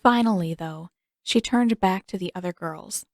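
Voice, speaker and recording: A woman's voice: on the low side, normal speed, darkish tone, no echo. Woman narrating fiction, dry close recording. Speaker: audiobook narrator. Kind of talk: scripted story narration.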